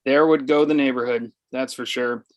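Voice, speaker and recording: A man's voice: mid-range voice, fairly even intonation, normal speed, muffled recording, close to an everyday voice. A man playing a stuffy, snobbish, well-off husband in a comedy table read. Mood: disapproving.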